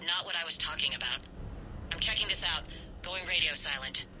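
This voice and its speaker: A woman's voice: low voice, fairly quick, background noise, natural voice. A woman voicing a determined alien militia leader in a video game, giving terse, urgent field reports. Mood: wary, terse.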